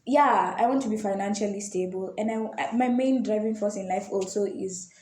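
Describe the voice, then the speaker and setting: A woman's voice: medium pitch, normal speed, darkish tone. A young adult woman with a Kenyan accent chatting casually on a podcast panel, repeating phrases for emphasis. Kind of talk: casual group chat. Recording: roomy echo, faint background noise.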